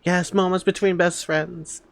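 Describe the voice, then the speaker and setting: A woman's voice: on the low side, steady tone, unhurried, creaky, no echo. A woman giving casual, emotional, exclamatory reaction commentary as a game streamer.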